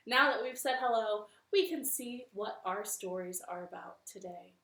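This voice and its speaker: A woman's voice: medium pitch, normal speed, fairly bright tone, echoey room. A woman hosting a library storytime, reading to children in a cheerful, sing-song way from her living room.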